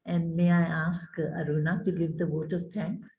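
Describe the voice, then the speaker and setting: A woman organizer moderating the session briskly over a call. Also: on the low side, flat delivery. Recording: tinny echoey phone-like call.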